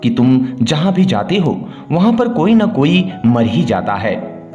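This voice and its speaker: A man's voice: mid-range voice, very animated, measured pace, dark tone, lo-fi sound. A man voicing a troubled, questioning village traveller in a storybook tale, speaking earnestly. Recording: roomy echo, music underneath.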